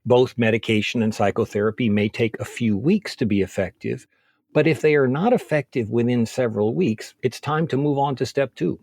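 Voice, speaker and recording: A man speaking as a physician in an interview, explaining things methodically in measured, orderly points. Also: mid-range voice, darkish tone, no room sound, studio quality. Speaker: physician, expert interview guest.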